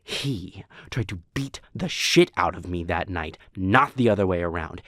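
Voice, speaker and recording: A man playing a resentful, hot-tempered vampire with a husky voice in a scripted audio drama. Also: low voice, lively intonation, measured pace, a bit bright, dry recording, studio quality, heavily acted. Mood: angry, defensive. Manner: combative, foul-mouthed. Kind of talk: scripted audio roleplay acting.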